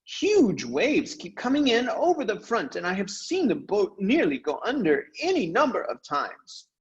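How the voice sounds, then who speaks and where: A man's voice: high-pitched, wildly swooping pitch, unhurried, muffled recording, pitched above the performer's own voice, full character voice. A man voicing a priggish, self-important schoolboy who whines and complains pompously as he reads out his diary for a storybook. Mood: indignant, whiny. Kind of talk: dramatized audiobook reading.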